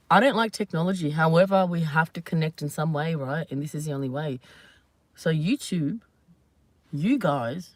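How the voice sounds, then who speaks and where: A woman rambles warmly to her followers in a loose, unscripted vlog chat, her voice a little hoarse and rough around the edges. Her pitch sits in an ordinary middle range. The sound is dry and close, with no room around it. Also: no room sound. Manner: chatty, rambling, laughs often. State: hoarse from screaming. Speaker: channel host. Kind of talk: casual live vlog chat to viewers.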